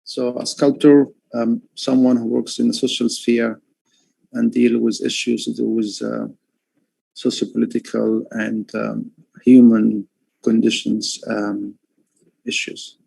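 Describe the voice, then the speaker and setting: A man from Egypt speaks slowly and haltingly, filling his pauses with "um" and "uh" as he explains his art. His voice has a gravelly edge, and the sound is dry and close, with no room echo. He talks over a headphone setup on a live call. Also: mid-range voice, little pitch movement, mellow. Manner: hesitant, many fillers and restarts. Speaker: artist. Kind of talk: livestream talk.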